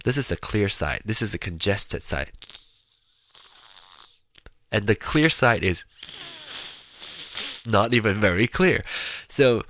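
A man with a very low voice talks in a loose, rambling way, stopping and restarting his sentences. He sounds nasal and congested, and his voice is a little raspy and gravelly. The sound is dry and close, with no room echo. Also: lively intonation, slow pace, long pauses, a bit bright. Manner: rambling, chatty, with frequent restarts. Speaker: vlogger. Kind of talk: casual personal monologue to camera.

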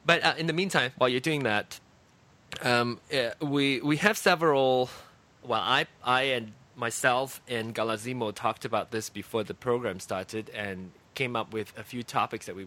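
A man's voice: medium pitch, sharp tone. A Malaysian man hosting a casual podcast, chatty and giggly with campy asides, talking over an internet call. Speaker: podcast host.

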